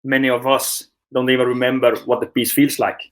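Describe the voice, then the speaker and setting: A man's voice: mid-range voice, monotone, a little fast. A man from Finland, a sleep coach, answering interview questions in a hesitant way, with many fillers, restarts and laughs.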